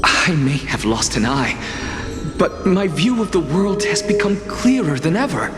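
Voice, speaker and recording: An actor playing a proud, defiant scholar in a video game, theatrical and sardonic in a deliberate way. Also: male voice, on the high side, very animated. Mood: impassioned. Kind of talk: dramatic game voice acting. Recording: music playing behind the voice.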